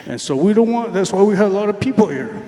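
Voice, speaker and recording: A man's voice: sits high, animated, quick pace, mellow. A man from the community making an earnest, emphatic public comment at a meeting, at times repeating words as he searches for them. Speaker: community member raising questions. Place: large meeting hall. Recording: echoey hall with long reverb.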